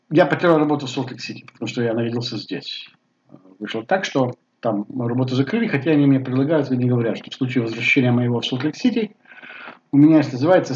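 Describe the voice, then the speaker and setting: A man's voice: mid-range voice, a little mellow, a little hiss or hum. Older guy w bulgarian accent, lots of ums, dull webcam sound in living room. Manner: halting, many fillers, gestures a lot. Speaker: vlogger. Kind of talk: casual personal talk to camera. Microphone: webcam at desk. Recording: slightly dull webcam audio.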